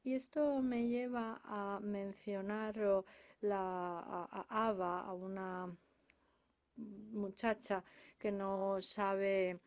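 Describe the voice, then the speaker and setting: A woman interpreting conference speeches simultaneously from a booth, halting often with filler sounds and restarts. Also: medium pitch, fairly even intonation, a little mellow.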